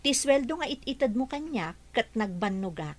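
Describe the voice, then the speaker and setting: A woman's voice: fairly high pitch, very animated, normal speed. An actress playing a hardworking young woman who talks back earnestly.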